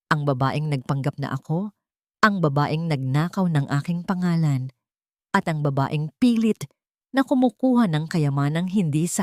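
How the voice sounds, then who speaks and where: A woman's voice: low voice, darkish tone, no room sound, studio quality, lightly acted. A woman narrator voicing a spiteful, mocking young female rival in an audiobook melodrama, taunting and accusatory.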